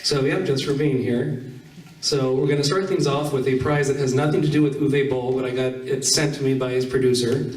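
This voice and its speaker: A man's voice: medium pitch, steady tone, brisk, a little mellow. A man casually hosting a panel and addressing an audience, recorded from a distance in a room. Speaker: panel host and moderator. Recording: roomy, distant, noisy hall recording with clicks.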